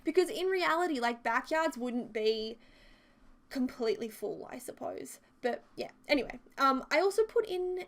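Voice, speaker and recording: Young female vlogger, slightly higher voice with a touch of sore-throat croak, loose chatty narration full of asides. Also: lively intonation, moderate pace. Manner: chatty and rambling, many fillers and side notes. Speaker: video creator narrating a build. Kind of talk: casual voice-over commentary.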